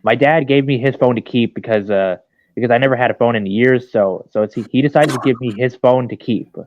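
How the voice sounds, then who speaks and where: A man's voice: medium pitch, brisk, no echo. A man who just woke up, calling into a livestream by phone, stammering and repeating himself. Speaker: caller on a livestream. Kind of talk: casual chat. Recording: dull phone audio.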